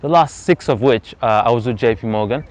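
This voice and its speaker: A man, telling his personal story as an interview guest outdoors in earnest, reflective, measured speech. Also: medium pitch. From Zambia. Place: outdoors on a freezing day. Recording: slightly dull lo-fi outdoor recording.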